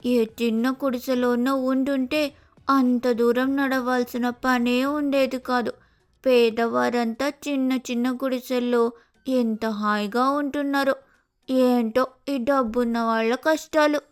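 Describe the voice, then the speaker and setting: A woman's voice: sits high, fairly even intonation, slow pace. A woman voicing a lazy, pampered young female sparrow in a cartoon moral story, whining and wheedling dramatically. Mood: weary complaining.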